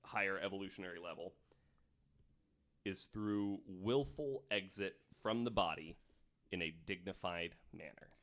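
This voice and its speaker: A man hosting a podcast, explaining researched material in a casual storytelling style, with pauses between read passages. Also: low-pitched, a bit bright. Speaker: podcast host.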